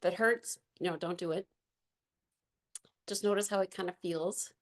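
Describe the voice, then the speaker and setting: A woman with a raspy voice leading a gentle movement and meditation class, giving soft, unhurried instructions with fillers. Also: fairly low pitch.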